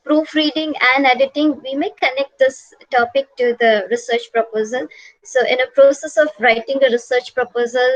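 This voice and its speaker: A woman's voice: a little high, no echo, poor audio. A woman giving an online class lecture from slides, patient and repetitive, with frequent "uh" fillers.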